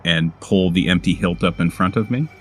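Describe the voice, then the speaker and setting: A man improvising first-person narration as a player in a tabletop game, halting and deliberate with dramatic pauses. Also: sits low, slight background noise.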